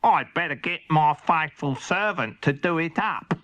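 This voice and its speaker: A fretful would-be knight of a cat played by a male storyteller, speaking slowly in a drawn-out, complaining way. The voice is fairly high for a male and belongs to a children's storybook. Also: animated, pushed and bright, lo-fi sound. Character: fretful, slow-spoken male cat who fancies himself a knight.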